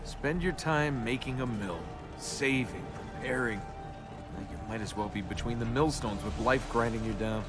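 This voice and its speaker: An actor playing a cocky, impatient young adventurer in a video game, with a sarcastic, flippant way of talking. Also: male voice, mid-range voice, a little slow, natural voice. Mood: wry, challenging. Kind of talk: video game character dialogue. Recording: music behind the voice.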